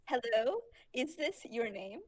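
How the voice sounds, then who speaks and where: A woman playing a polite, calm hospital caller who speaks formally and reassuringly in a fan fiction roleplay. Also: a little high, slow.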